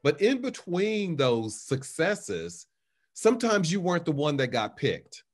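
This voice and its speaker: An American man of about sixty hosting a casual interview over a video call, framing his questions in a halting, repetitive way. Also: fairly high pitch, very animated, soft background music. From West Texas, United States. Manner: halting, repeating words as he frames questions. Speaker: interview host. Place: living room at home, on a video call.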